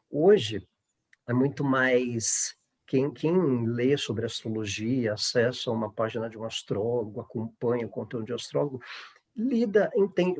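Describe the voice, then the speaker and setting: Husky, creaky-voiced Brazilian man, fairly high-pitched. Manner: discursive, often seeking agreement with tags. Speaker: astrologer and panel speaker. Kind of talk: informal panel discussion.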